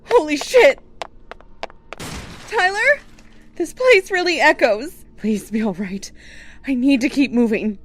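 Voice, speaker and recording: A woman's voice: high-pitched, animated, takes it slowly, long pauses, a little hiss or hum. A woman playing a self-important but jittery young documentary maker in a horror audio drama, chattily narrating her surroundings. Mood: anxious surprise.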